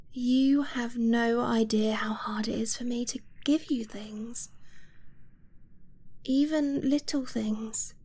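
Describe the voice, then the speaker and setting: Slow, soft-spoken affectionate dragon girlfriend, acted by a woman. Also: on the high side. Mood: tender, earnest. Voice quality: soft, breathy, often dropping to a whisper. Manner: hesitant, earnest, slow with halting pauses. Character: affectionate, anxious dragon-blooded girlfriend confessing her treasure hoarding. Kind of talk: intimate romantic ASMR roleplay.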